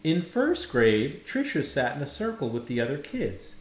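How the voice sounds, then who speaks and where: A man narrates a children's storybook like a teacher reading to a class. His delivery is expressive, with wide swings in pitch. The room adds clear echo. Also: normal speed. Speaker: educator reading a picture book aloud. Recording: echoey room.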